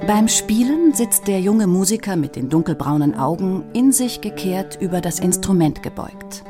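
A woman reading a scripted documentary narration in a measured, even way. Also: mid-range voice, over music.

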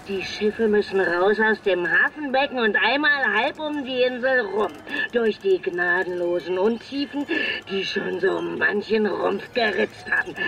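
A woman playing an excitable race commentator at a pirate boat race in a children's radio play, breathless and dramatic. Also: medium pitch, gravelly, a little hiss or hum, rough recording. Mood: dramatic buildup.

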